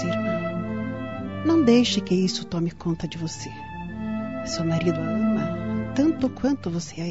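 A woman playing a gentle young spiritist healer in a radio soap opera, giving measured, solemn counsel. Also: medium pitch, close to an everyday voice. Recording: dull lo-fi audio with music.

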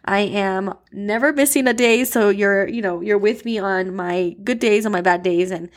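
A woman's voice: medium pitch, normal speed. Congested woman leading a daily devotional. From Miami, Florida. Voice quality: nasal. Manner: earnest, upbeat and chatty, with fillers. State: stuffy and congested. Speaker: devotional podcast host. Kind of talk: casual devotional talk and spoken prayer.

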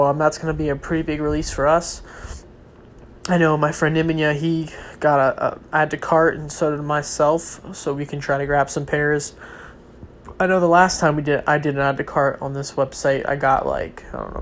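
Young man, stuffy cold voice. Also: a little high. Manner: rambling, many ums and restarts. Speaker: vlogger. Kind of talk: casual solo talk on football and sneakers. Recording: faint background noise.

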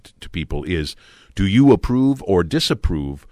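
A man interviewing in a conversational style, phrasing his questions carefully with pauses. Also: deep voice, very animated, a little mellow, dry recording, studio quality.

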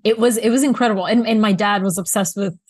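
A woman's voice: medium pitch, fast, darkish tone, no echo, studio quality. A young woman from Bulgaria interviewing a guest, upbeat and casual, using lots of "like" and "um". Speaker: interviewer, host. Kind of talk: conversational interview.

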